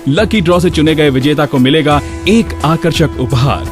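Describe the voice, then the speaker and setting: Casting: male radio presenter, slightly high voice, instructive scripted delivery, prominent music bed behind. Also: normal speed. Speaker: radio show host.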